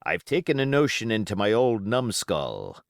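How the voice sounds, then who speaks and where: A man's voice: fairly low pitch, wildly swooping pitch, moderate pace, creaky, no room sound, studio quality, heavily acted. A man voicing a cunning, commanding pirate cook with folksy seafaring dialect and emphatic oaths, in an audiobook. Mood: musing, uneasy. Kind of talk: audiobook character voice.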